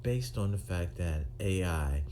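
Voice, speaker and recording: A man in his sixties, a retired police officer, talking to camera in a halting, firm way with many ums and restarts. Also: sits low, animated, mellow, a little hiss or hum. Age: 60s. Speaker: retired police officer turned video maker. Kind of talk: unscripted monologue to camera.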